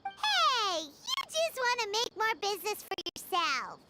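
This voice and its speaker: A woman voicing a tiny, excitable fairy-like girl companion in a video game, chirpy and blunt with her questions. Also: extremely high pitch, unhurried, very noisy, exaggerated voice. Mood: curious. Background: game music.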